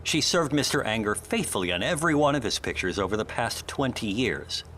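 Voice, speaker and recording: A man's voice: medium pitch, sing-song, fairly quick, fairly bright tone, slight background noise. An actor playing a prim, loyal male assistant in a noir audio drama, formal, precise and reproachful. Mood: indignant.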